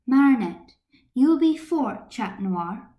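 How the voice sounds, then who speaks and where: A woman's voice: a little high, animated, slow, muffled recording. A woman voicing a firm, orderly female schoolteacher with an instructive manner, in an amateur story reading. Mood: directing.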